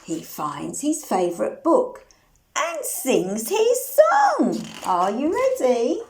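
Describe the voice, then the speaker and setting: Animated high-voiced woman reading to small children. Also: slow, slight background noise. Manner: animated, sing-song, asking the listeners questions. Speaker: storyteller reading a children's picture book. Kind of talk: interactive read-aloud for young children, with sung refrains.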